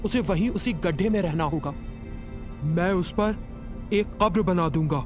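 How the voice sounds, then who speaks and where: A man's voice: high-pitched, a little slow, over music. A man playing an anxious, earnest village husband in a cartoon story. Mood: worried. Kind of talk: Hindi cartoon story voice acting.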